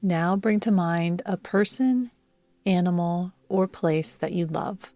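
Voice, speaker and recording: A woman guiding a yoga nidra meditation in a soothing, measured manner, leaving long pauses between phrases. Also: fairly low pitch, mellow, no echo. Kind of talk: guided meditation narration. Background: background music.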